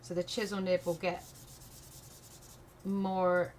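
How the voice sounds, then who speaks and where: A woman's voice: lowish voice, flat delivery, slow pace, darkish tone. English-American accented woman, noisy background. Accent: English blended with American. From England. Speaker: art livestream host. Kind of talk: casual live craft tutorial, thinking aloud. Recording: noticeable background noise.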